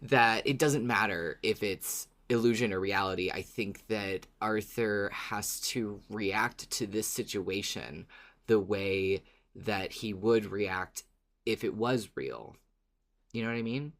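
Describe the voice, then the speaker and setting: A podcast co-host talking in a measured, deliberate way in a casual fan discussion. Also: sits low, a bit bright.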